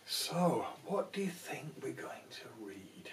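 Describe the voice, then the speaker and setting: A man's voice: mid-range voice, very animated, takes it slowly, slight background noise. A man in his sixties, a grandfather playfully coaxing small children along as he tells them a story. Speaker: grandfather reading a picture book to grandchildren. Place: small indoor room at home. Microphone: camera a few feet away. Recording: roomy echo, a little far from camera.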